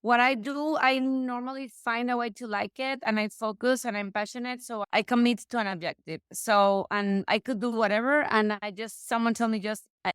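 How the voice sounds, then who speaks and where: A woman talks through her career as a podcast guest, often breaking off and restarting her sentences. Her voice is very clean and smooth. It sounds dry and close, with no room sound at all. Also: mid-range voice, fairly bright tone, no room sound. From Mexico. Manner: chatty, frequent restarts and self-corrections. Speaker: guest, real estate investor. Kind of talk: casual interview conversation.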